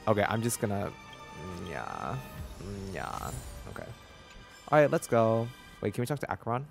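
A man's voice: fairly low pitch, wildly swooping pitch, normal speed, vocal fry. A young man chatting casually on a gameplay livestream in a slangy, rambling, playful way. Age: young adult. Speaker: video game streamer. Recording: faint game music behind.

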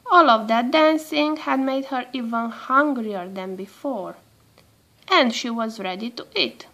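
High-voiced woman reading a children's story slowly. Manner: expressive, measured storytelling for children. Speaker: storybook reader. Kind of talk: read-aloud children's storytelling.

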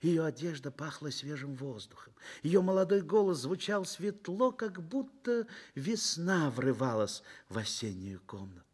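A man reading scripted literary narration for a Soviet radio drama in an expressive, measured storytelling manner. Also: on the high side, husky.

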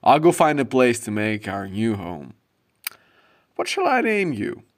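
A man's voice: mid-range voice, huge pitch swings, unhurried. A man voicing a heartbroken, kindly, easily startled young man in a dramatic manga dub. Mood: gentle, wry.

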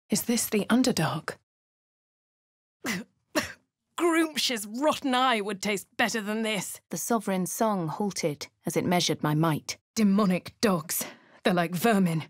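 An actress voicing a dry-witted, composed female adventurer in a video game, with a husky upper-class English accent and a crisp, measured delivery. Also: mid-range voice, lively intonation, no room sound. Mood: wry. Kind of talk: video game voice lines, in-character narration. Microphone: close studio mic.

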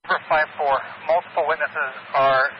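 A man's voice: a little high, fairly even intonation, normal speed. A male police officer directing units over a police radio. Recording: muffled radio with clear static.

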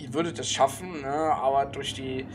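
A man's voice: medium pitch, steady tone, moderate pace, slight background noise. A man running a tabletop game as game master, narrating casually and off the cuff into a close microphone. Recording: music under the voice.

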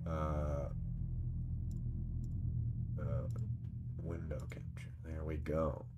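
A man's voice: extremely low pitch, level tone, drawn-out words, muffled recording, loud music behind the voice. A man chatting casually as a game streamer, playful and joking in his live commentary.

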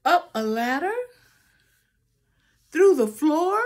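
A woman's voice: high voice, lively intonation, takes it slowly, a little hiss or hum. An older woman reading a picture book aloud to children, theatrically drawing out phrases and adding asides to the listeners. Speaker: storybook reader. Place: small room at home, indoors.

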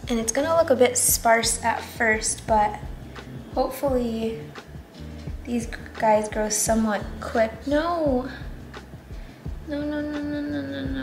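Congested young woman chatting over music. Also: high voice. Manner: chatty, self-doubting, many fillers and murmured asides. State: congested, nasal, voice partly gone. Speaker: plant vlogger. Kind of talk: casual repot-with-me chat. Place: indoors at a table.

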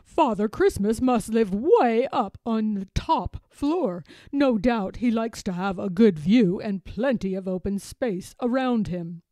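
An earnest, courteous elephant from a children's storybook, voiced by a woman. The delivery is emphatic and expressive, in a rounded, mellow tone with little room sound. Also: fairly high pitch, a little slow, few pauses, no room sound. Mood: puzzled.

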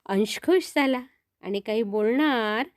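A woman's voice: fairly high pitch, slow, no room sound, studio quality. A woman storyteller narrating for children, explaining things simply and speaking directly to young listeners.

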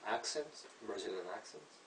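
A young man whose first language is Portuguese hosts a casual chat in halting English, asking eager questions. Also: on the low side, animated, a bit bright, recorded from a distance. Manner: eager, asks questions, laughs often, simple halting English. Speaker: host. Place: living room, indoors, sitting on a sofa. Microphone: far camera. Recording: echoey room, from afar, faint hiss.

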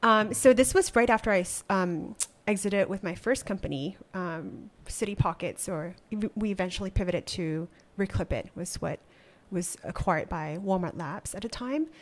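A woman's voice: medium pitch, normal speed, hardly any pauses. Malaysian-raised woman guest, thoughtful. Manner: reflective, many ums and restarts. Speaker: startup founder, guest. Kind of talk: conversational interview. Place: small studio with wooden wall. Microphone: desk podcast microphone.

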